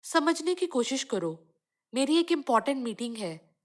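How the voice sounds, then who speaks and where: A woman's voice: sits high, very animated, normal speed. A woman voicing a busy, exasperated city uncle in a children's cartoon story, pleading and argumentative.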